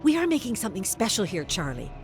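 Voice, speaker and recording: Woman acting female TV presenter, confident, passionate, defiant, lively intonation, dramatic storytelling, music underneath. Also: mid-range voice, fast, pitched above the performer's own voice. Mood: determined. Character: confident, passionate woman talk-show host, polished and defiant. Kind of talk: dramatic audiobook character voice.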